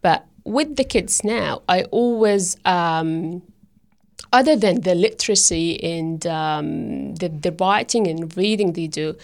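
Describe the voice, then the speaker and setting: A woman's voice: lowish voice, expressive, slow pace, gravelly. A woman in her thirties whose first language is Arabic, talking hesitantly with many fillers and restarts in a casual interview about her work as a teacher aide. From Middle East.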